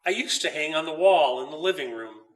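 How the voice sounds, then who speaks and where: A man's voice: fairly high pitch, animated, a little fast, distant mic, lightly acted. A man voicing a wise saintly bishop who speaks from an icon, in a solemn, teacherly storybook manner. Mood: matter-of-fact. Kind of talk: storybook character voice.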